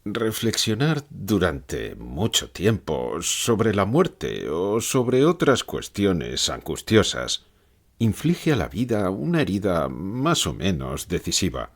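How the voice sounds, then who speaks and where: A dry, weary dead philosopher acted by a man answers an interviewer. His husky, low voice moves gravely and deliberately, with lively swings of pitch. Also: full character voice. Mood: grave, anguished. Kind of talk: dramatized interview, slow reflective answers.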